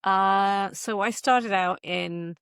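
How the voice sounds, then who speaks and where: A woman's voice: medium pitch, fairly even intonation, takes it slowly, a bit bright, clear, resonant tone, no room sound. Middle-aged woman, chatty interview guest, close dry sound. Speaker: guest author and former magazine journalist. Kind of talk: casual interview chat.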